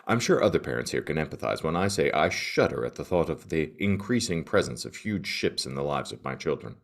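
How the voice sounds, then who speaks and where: A man playing a worried middle-aged father of teenagers, reading in a heartfelt, mock-solemn way. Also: very deep voice.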